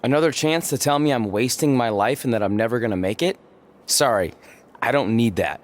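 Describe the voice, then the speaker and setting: An actor playing a wry, stubborn young wrestler in a video game, talking conversationally with dry sarcasm. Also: male voice, mid-range voice, fairly quick, natural voice. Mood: defensive. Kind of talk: video game cutscene acting.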